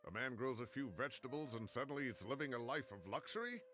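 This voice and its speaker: An actor playing a fussy, grumbling older professor in a video game, indignant and blustering. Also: male voice, medium pitch, fast talker, fairly bright tone, soft background music, lightly acted. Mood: indignant, flustered.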